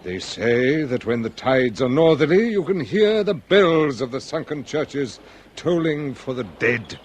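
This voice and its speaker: An actor playing a courtly, fretful and florid Victorian lord with a husky voice in a British radio drama. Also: male voice, medium pitch, sing-song, a little slow, a little hiss or hum. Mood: gloomy, courtly. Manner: florid, mannered, pompous.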